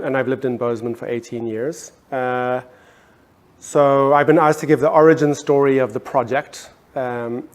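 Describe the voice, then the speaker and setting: A middle-aged man from South Africa with a South African accent, speaking on an art panel in a hesitant way, with many ums and restarts. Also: medium pitch. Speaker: panelist artist. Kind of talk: casual panel talk.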